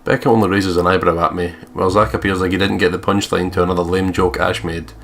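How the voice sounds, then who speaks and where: A man's voice: low-pitched, little pitch movement, quick pace, a bit bright. A man doing let's play narration and banter, jokey and sweary, with theatrical outbursts over game music.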